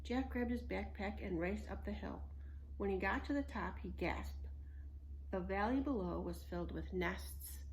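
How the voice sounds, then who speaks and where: A woman narrating a children's story in an expressive read-aloud style. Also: medium pitch, unhurried, slight background noise, low-quality recording. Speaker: storybook reader.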